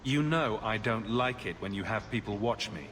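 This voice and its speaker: An actor playing a composed, wry adventurer hero in a video game, speaking in a measured way. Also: male voice, on the low side, fairly bright tone, reverberant, a little hiss or hum, natural voice. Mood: wry, familiar.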